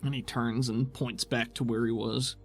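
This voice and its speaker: A man's voice: mid-range voice, little pitch movement, normal speed, soft background music. A man working as game master, narrating a tabletop roleplaying game in the present tense.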